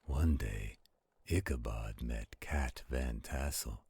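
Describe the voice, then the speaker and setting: A man voicing a gentle, protective werewolf in a roleplay audio, reading aloud in a slow, soothing, husky, gravelly voice. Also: a bass voice, dry recording, exaggerated voice. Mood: soothing storytelling.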